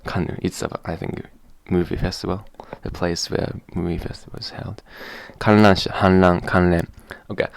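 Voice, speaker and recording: A man with a Japanese accent, explaining in a hesitant, halting vlog way and slowly sounding out example words. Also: low-pitched, little pitch movement, creaky, no room sound. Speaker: vlogger. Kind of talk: casual spoken explanation to camera.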